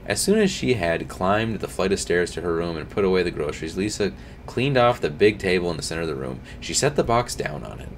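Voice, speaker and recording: Deep-voiced young man reading aloud. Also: expressive, a little fast, slight background noise. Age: young adult. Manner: reads with slips and restarts, drops to quiet asides. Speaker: streamer reading a story. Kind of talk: reading a scary story aloud with comments. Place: home streaming room, indoors.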